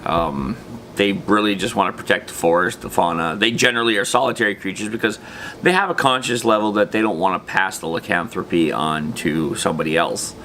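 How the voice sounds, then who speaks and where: A man's voice: low-pitched, fairly quick, pushed and bright. A man presenting a casual, unscripted gaming explainer in an informal, slangy way, trailing off into loose asides. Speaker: presenter of a tabletop gaming video series. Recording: faint background noise.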